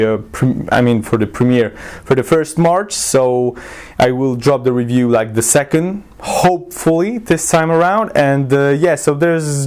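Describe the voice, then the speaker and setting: A man's voice: medium pitch, darkish tone. A man giving a casual movie review to his viewers, talking informally and often cutting himself off as he rambles. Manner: rambling, self-interrupting, informal. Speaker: YouTube film reviewer and channel host.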